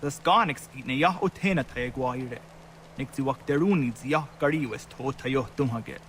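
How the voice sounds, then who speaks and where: An actor playing a solemn, earnest villager in a historical video game, speaking in measured dramatic dialogue. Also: male voice, medium pitch, a bit bright, slight background noise, close to an everyday voice. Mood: earnest, insistent.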